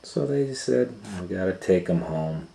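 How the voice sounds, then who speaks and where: A man reading a children's storybook aloud as a host, in a dramatic, teacherly manner, pausing to comment and ask questions. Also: low-pitched, animated, gravelly, dark tone. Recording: echoey room with faint noise.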